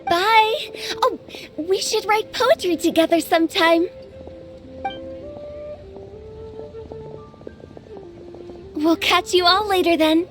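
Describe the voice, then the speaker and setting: A very high-pitched, breezy young boss lady. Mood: cheerful. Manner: informal, playful, in charge. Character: breezy, bossy young female funeral parlor director. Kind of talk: English video game voice acting.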